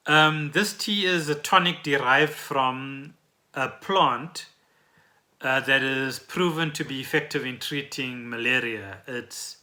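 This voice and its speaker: South African man, podcast host, very sharp bright tone, mid pitch, conversational explainer. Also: slow. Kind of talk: explaining trending news conversationally.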